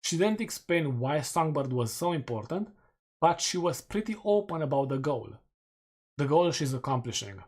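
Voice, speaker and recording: A man's voice: on the high side, sing-song, moderate pace, a little mellow, slightly rough. A man with a Romanian accent, a streamer casually going over community poll results with self-mocking jokes. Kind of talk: casual chat reading community polls.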